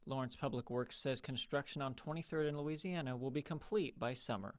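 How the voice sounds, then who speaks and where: A man's voice: medium pitch, brisk. A man with an American accent reading a scripted television news report as a field reporter.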